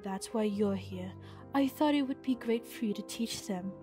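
A woman playing a commanding, scheming goddess mother with a measured, imperious delivery in a fantasy game roleplay. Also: mid-range voice, music in the background, lightly acted. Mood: stern, commanding.

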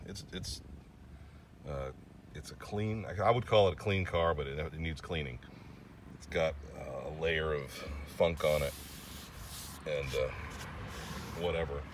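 A man's voice: a bass voice, a little hiss or hum. A man casually narrating a walkaround of an old car outdoors, rambling with fillers and restarts. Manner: rambling, chatty, pauses with fillers. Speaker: classic car vlogger.

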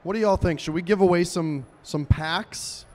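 A man's voice: high-pitched, moderate pace, a little mellow. Upbeat man hosting a convention stage. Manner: upbeat, chatty, addressing the crowd. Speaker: stage host. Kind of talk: live event hosting. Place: large indoor convention hall stage. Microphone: handheld mic.

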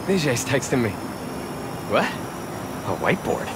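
Very slow, lovestruck young man. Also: medium pitch, sing-song, very noisy. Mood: bemused, fond. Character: affectionate, bemused young male student in love. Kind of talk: video game voice acting. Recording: loud music over the voice. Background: loud game music.

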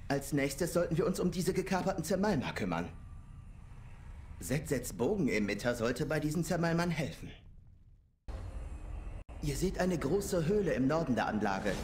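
A man's voice: fairly high pitch, darkish tone, a little hiss or hum, natural voice. An actor playing a keen, practical male engineer and adventurer ally in a fantasy video game. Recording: game music behind the voice.

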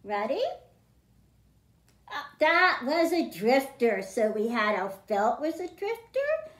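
A middle-aged woman hosting a children's storytime, speaking in simple, deliberate phrases meant for young kids. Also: high-pitched, big pitch swings. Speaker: children's librarian, storytime host. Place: small library room with bookshelves, indoors. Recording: roomy, echoing indoor sound.

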